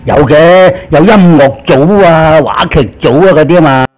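Older male, Cantonese accent, highish voice, chatty storyteller reminiscing casually as interviewee. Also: normal speed, darkish tone, dull audio. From Guangzhou, China. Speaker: interviewee, veteran actor.

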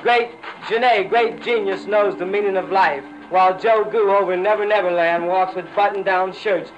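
A man's voice: high voice, steady tone, normal speed, a little hiss or hum. A man, a jazz poet, giving a spoken-word reading of Beat poetry. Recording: dull old 1960 radio recording, music.

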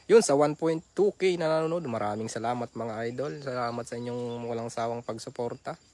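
A man with a Filipino accent chatting casually as he reads out shout-outs and thanks livestream viewers. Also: mid-range voice, a little fast. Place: outdoors on a grassy waterside bank. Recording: clearly noisy outdoor phone recording.

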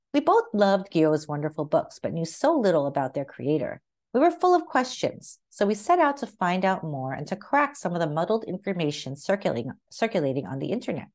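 Woman reading aloud with lively intonation. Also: lowish voice. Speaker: read-aloud host, narrator. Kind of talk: reading a children's picture book aloud.

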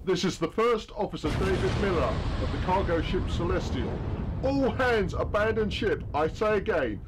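Urgent male first officer played by an actor, very high-pitched, barking orders over loud battle noise, sci-fi audio drama. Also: expressive, moderate pace. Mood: urgent command. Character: urgent, commanding first officer, protective older brother. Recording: loud battle effects behind.

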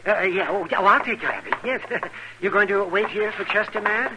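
An actor playing a chatty, fussy, good-natured frontier doctor in a 1950s radio western, folksy and rambling. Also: male voice, high voice, a little fast, lo-fi sound, natural voice. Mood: jovial, fussy. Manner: chuckling, folksy, flustered rambling. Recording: noisy old radio broadcast recording.

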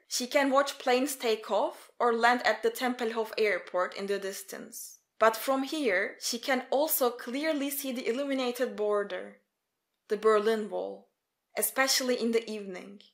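A woman's voice: a little high, measured pace, a bit bright. A woman reading scripted narration as a storyteller.

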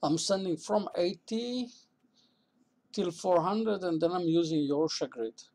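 An older man casually explaining a woodturning project in his workshop, plain-spoken and halting. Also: high-pitched, a little mellow. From South Africa. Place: small indoor cluttered woodworking shop.

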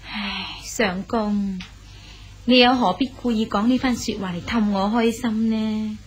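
An actress playing a loyal female companion of an old exile, sorrowful and gently pleading in a radio drama. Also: mid-range voice, fairly even intonation, unhurried, natural voice. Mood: wistful.

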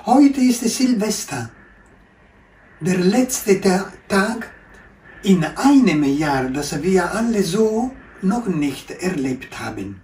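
An older man from Italy, a priest slowly giving a New Year's blessing from a room at home, filmed by a camera a little way off. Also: sits high, big pitch swings, a little mellow. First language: Italian. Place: living room with window, indoors. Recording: echoey room, mic at a distance.

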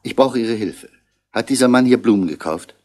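An actor playing a calm, probing police commissioner in a 1960s radio play, measured and drily wry. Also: male voice, mid-range voice, natural voice. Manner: measured, questioning, dry.

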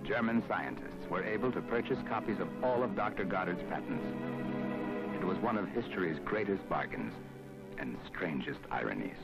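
A man reading scripted documentary narration in a measured, formal, dramatic way with weighty pauses, on an archival film soundtrack. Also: low voice, lively intonation, gravelly, low-quality recording. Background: prominent background music.

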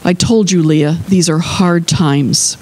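A man voicing a gentle, sorrowful farmer father in a storybook, speaking softly and plainly. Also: a little high, steady tone, slow pace. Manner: soft, plain-spoken, grave.

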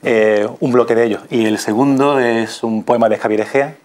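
A man's voice: mid-range voice, steady tone, normal speed, a little mellow. A man in his thirties with an accent from Spain, explaining as an interview guest. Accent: Spanish (Spain). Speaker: interview guest, radio theatre performer. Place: TV studio.

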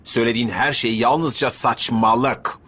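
A man's voice: medium pitch, fairly even intonation, moderate pace, breathy edge. An actor dubbing a cruel, sadistic male cartoon villain who threatens and taunts in a menacing way. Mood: scornful taunting. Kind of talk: dubbed anime voice acting. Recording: loud music under the voice.

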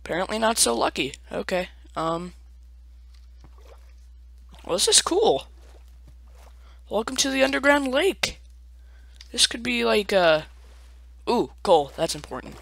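A man's voice: high-pitched, sing-song, measured pace, creaky, pauses often, a bit bright, no room sound. Chatty young man narrating gameplay. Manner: chatty, self-mocking, playful sing-song asides. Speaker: let's play gamer. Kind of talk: casual gameplay commentary.

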